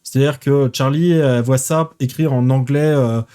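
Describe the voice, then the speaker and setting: A man runs a tabletop game as game master, describing the scene in a mid-pitched voice. He talks haltingly, filling gaps with hesitations as he goes. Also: steady tone, warm tone, clear, resonant tone. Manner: hesitant, many fillers.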